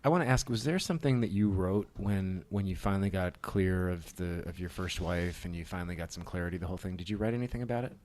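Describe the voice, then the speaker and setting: Fast-talking deep-voiced male host. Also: a little mellow. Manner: halting, self-correcting questions. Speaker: podcast host. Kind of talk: casual interview questions.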